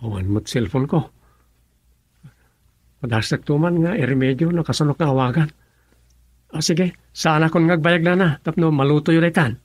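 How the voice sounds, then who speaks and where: Rural young man played by a male actor, Filipino radio drama, plain-spoken, fairly high pitch, close dry recording. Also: normal speed, long pauses, no echo, lightly acted. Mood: casual.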